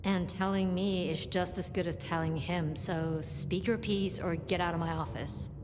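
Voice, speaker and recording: A woman's voice: on the low side, fairly even intonation, moderate pace, in a large hall, music in the background, lightly acted. An actress playing a curt, dismissive woman gatekeeper in a video game, clipped and dry in delivery.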